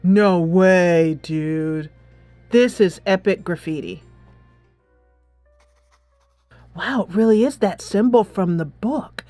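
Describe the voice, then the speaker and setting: A woman voicing a down-to-earth, skeptical high school girl from a game in a casual, questioning way. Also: slow, dark tone, no echo, soft background music. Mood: amazed.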